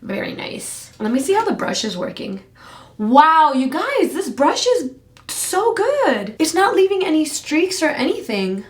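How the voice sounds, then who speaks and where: A woman's voice: high voice, sing-song, measured pace. A woman in her twenties from Canada, chatting casually and rambling with lots of fillers while doing her makeup for a vlog. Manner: rambling, filler-heavy with frequent "like". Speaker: beauty vlogger. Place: home room.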